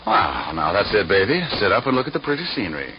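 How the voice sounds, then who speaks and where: An actor playing a hard-boiled private detective, dry, sardonic and slangy, in 1940s radio noir style. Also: male voice, on the low side, very animated, fast, background noise. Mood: cocky. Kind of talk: first-person noir narration, 1940s radio acting. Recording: muffled vintage radio recording with music.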